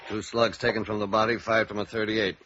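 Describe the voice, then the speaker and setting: An actor playing a businesslike, dry-witted police sergeant in a vintage radio drama, terse and matter-of-fact. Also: male voice, fairly low pitch, level tone, fairly quick, a bit bright, lo-fi sound. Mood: businesslike, pondering. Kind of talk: 1950s radio drama acting.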